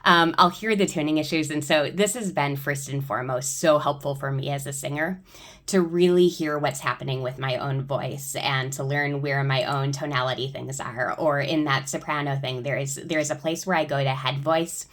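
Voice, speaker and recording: Lower-pitched woman giving an informal tutorial. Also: steady tone, moderate pace, a bit bright. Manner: explains step by step. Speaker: choir director giving a software tutorial.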